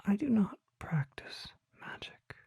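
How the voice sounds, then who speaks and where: A mysterious vampire apothecary, acted by an ASMR performer, whispers with solemn patience. The delivery is slow, deliberate and formally worded. The sound is very soft and dark, close and dry, full of breath. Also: no room sound, lightly acted. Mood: solemn, gentle.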